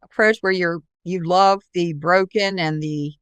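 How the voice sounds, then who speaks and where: Middle-aged female interviewer, slightly low clear voice, conversational hosting, dry recording. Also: steady tone, a little slow, no echo. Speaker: interview host, author.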